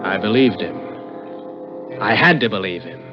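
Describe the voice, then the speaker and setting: A man's voice: sits low, normal speed, natural voice. Man acting a slick radio-drama schemer, muffled vintage sound. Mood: narrating. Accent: American. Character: smooth-talking, scheming male opportunist, also the story's narrator. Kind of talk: early 1960s American radio suspense acting. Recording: muffled old radio broadcast, music behind.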